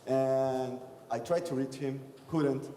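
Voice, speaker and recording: A man's voice: mid-range voice, steady tone, takes it slowly, mellow, a little hiss or hum. A young man from Chile, speaking as a panelist at a conference, telling stories with many hesitations. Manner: hesitant, many fillers, self-described timid. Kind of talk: conference panel talk, storytelling. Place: large conference hall. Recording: echoey conference hall, long reverb.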